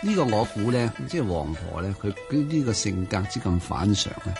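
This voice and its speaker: A man's voice: on the low side, very animated, normal speed, gravelly, over music. A man with a Hong Kong Cantonese accent co-hosting radio literary commentary, reasoning analytically and posing rhetorical questions. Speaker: co-host.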